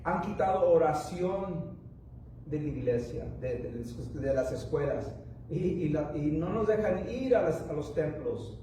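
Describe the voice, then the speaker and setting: A man's voice: on the high side, expressive, mellow, heavy reverb, recorded from a distance, a little hiss or hum, low-quality recording. A man preaching a sermon, a native English speaker who halts and restarts often as he speaks. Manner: rambling, halting with many restarts and fillers. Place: church.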